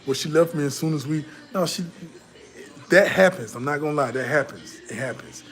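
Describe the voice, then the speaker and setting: Blunt, animated man talking straight. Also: on the high side, fairly quick. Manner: blunt, profane, repetitive fillers. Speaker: vlogger. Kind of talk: casual commentary to camera.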